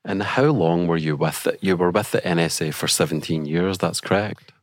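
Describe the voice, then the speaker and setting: A man hosting a podcast interview, asking measured, polite questions. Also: deep voice, no room sound, studio quality. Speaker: podcast host.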